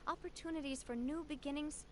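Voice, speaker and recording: A woman's voice: high-pitched, fairly even intonation, brisk, a little hiss or hum, natural voice. A woman playing a confident young gang president in a video game, firm yet gracious, with music behind her. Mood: upbeat.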